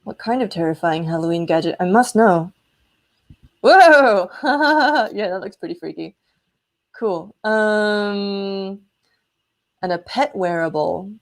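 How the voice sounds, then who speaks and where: A woman's voice: medium pitch, slow. A woman hosting a casual live electronics demo, chatty and enthusiastic as she explains hardware. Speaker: host of an electronics prototyping livestream.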